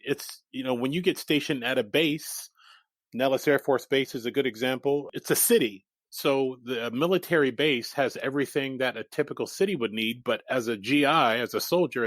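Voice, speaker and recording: A man's voice: mid-range voice, fairly quick, no room sound. Man telling his life story candidly. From raised in Germany, Japan and Iowa. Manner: reflective, laughs easily, animated quoted asides. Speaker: startup founder guest.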